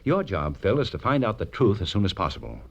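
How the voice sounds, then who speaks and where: A man's voice: fairly low pitch, huge pitch swings, fast talker, muffled recording, full character voice. An actor playing an anxious, ambitious businessman in his thirties, hesitant and urgent, in a 1940s radio drama. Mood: worried, earnest. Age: 30s. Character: anxious, ambitious young businessman in a blackmail jam.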